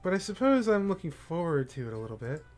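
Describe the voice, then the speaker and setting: Brief: a man doing a shy, bookish young woman, hushed and hesitant. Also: fairly high pitch, big pitch swings, fast, faint music behind. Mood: reserved.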